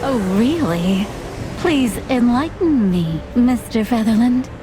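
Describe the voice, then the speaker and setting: An actress playing a poised, mysterious femme fatale in a noir adventure game, speaking formally. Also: female voice, a little high, expressive, slow pace, warm tone, slight background noise. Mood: poised, insistent. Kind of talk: film noir game acting.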